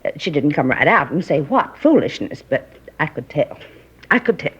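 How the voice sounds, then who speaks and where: An actress playing a gossipy, nosy middle-aged neighbor who rambles and confides in folksy grammar, in a 1950s radio drama. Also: female voice, low-pitched, very animated, moderate pace, slightly rough, exaggerated voice. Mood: gossiping. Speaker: apartment neighbor questioned by police. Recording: dull lo-fi 1950s radio recording.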